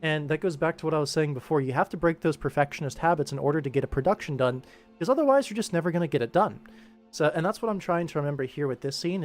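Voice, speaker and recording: A man with a slightly high voice talks casually on a livestream as he works. He explains things informally, thinking aloud like a director, with music playing behind him. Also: darkish tone. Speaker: livestream host and animation director. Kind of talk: casual livestream commentary while working.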